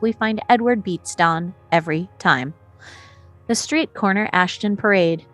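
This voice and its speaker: A woman reading scripted stage directions as the narrator of a screenplay table read. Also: fairly low pitch.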